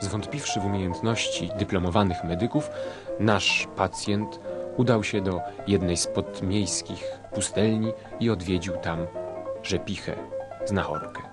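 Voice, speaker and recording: A man's voice: sits low, unhurried. A man playing a wry, politely fussy tailor who narrates a comic radio sketch. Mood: wry narration. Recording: loud music behind narration.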